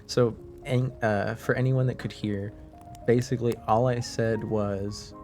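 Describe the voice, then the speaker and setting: A man whose first language is French, chatting casually to livestream viewers in a hesitant, halting way, sounding slightly under the weather. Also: medium pitch, flat delivery, darkish tone. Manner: hesitant, halting, often trailing off. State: slightly under the weather, deeper than usual, clearing throat. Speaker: live streamer, host. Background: faint music.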